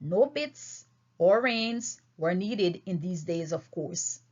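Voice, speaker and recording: A woman reading a story aloud in an expressive, deliberate and emphatic way. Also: fairly low pitch. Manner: emphatic, deliberate phrasing with dramatic swings. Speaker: audiobook reader. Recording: dull, lo-fi recording.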